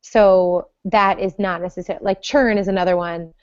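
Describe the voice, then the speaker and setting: A woman's voice: fairly low pitch, steady tone, normal speed, darkish tone, smooth, no echo, dull audio. A woman on a podcast panel talking about support work, hesitant, with many ums as she thinks aloud. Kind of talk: casual panel chat.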